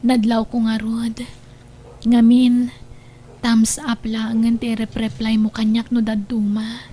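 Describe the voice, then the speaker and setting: A woman acting a gentle, trusting, ailing young girlfriend in an Ilocano audio drama, with a soft, tender manner. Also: fairly high pitch, monotone, takes it slowly. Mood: tender, hopeful.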